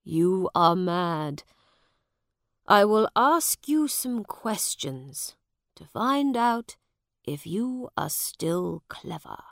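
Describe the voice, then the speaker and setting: Lady doing a sick old king, low and crackly, super slow and tired. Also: very animated, creaky, no echo, studio quality, exaggerated voice. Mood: weary. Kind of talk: storybook reading.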